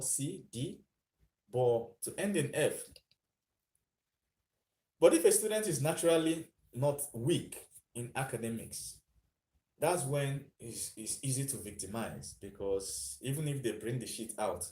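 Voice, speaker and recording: A man's voice: medium pitch, a little mellow. A man hosting a live stream, thinking aloud with frequent fillers and answering viewer comments. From Nigeria.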